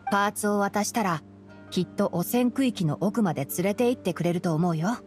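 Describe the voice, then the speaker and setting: A woman playing a young male forest ranger in a Japanese anime game, calm and studious, explaining things in a measured way. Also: mid-range voice, soft background music, natural voice. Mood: calm, analytical. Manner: measured, matter-of-fact explaining.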